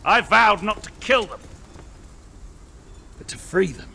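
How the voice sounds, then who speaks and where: An actor playing a theatrical, smug villainous lord in a video game, mock-polite and pompous in a drawling delivery. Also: male voice, high-pitched, slow, gravelly, sharp tone, full character voice. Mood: gloating, menacing. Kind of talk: video game cutscene acting.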